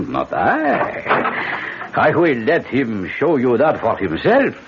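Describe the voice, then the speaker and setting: Slow, swooping sly official. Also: male voice, medium pitch, wildly swooping pitch, full character voice. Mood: sly, teasing. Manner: theatrical, deliberate, swooping emphasis. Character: sly, courteous, unhurried investigating official. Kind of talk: old radio drama acting. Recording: muffled, slightly noisy old radio recording.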